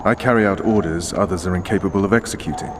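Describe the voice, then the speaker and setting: An actor playing a hard-bitten special forces commander in a fantasy video game, stern, blunt and dry in manner. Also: male voice, deep voice, fast talker, noisy background, close to an everyday voice. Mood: grim resolve. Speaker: interrogator and military commander.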